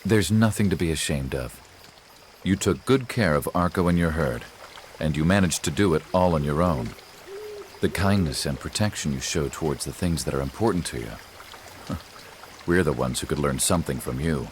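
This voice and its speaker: An actor playing a calm, kindly rebel leader in a video game, composed and reassuring. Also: male voice, very deep voice, normal speed, slight background noise. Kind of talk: video game cutscene acting.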